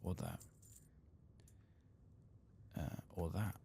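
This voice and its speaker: A soft-spoken man gives ASMR game commentary in a low, gravelly voice, often slipping into a whisper. He mutters to himself at a slow, unhurried pace, with some noise behind him. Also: huge pitch swings. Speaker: host of an ASMR game playthrough.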